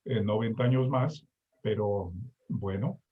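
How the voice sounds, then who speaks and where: A man's voice: fairly low pitch, unhurried, slightly rough. A man in his sixties chatting and teasing on a video call. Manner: teasing, jokey. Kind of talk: casual chat on a video call. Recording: muffled lo-fi call audio.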